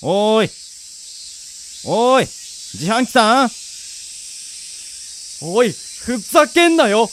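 男性が演じるぼやき口調の金欠青年. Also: male voice, medium pitch, sing-song, measured pace, pauses often, slight background noise. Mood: exasperated. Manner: rough casual speech, muttering and whining. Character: broke, grumbling, short-tempered young man just fired. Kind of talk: amateur radio drama acting.

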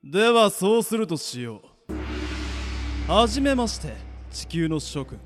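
Pompous, high-voiced ghost wizard lord. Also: male voice, sing-song, unhurried, soft background music. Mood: lordly. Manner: pompous, archaic lordly phrasing. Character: grandiose, boastful ghostly wizard lord and doting father. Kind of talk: Japanese anime-style radio drama acting.

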